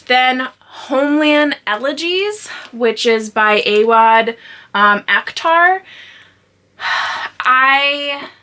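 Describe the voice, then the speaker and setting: Female vlog host, bright forward voice, informal and chatty, loosely rambling delivery. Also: sits high, expressive, extremely slow. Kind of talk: casual chatty vlog talk to viewers.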